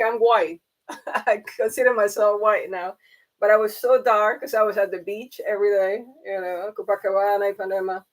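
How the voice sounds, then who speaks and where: A woman's voice: medium pitch, low-quality recording. A middle-aged woman, hosting a live stream in a rambling, chatty way with many fillers and restarts. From Panama. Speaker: livestream host. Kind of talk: casual chat.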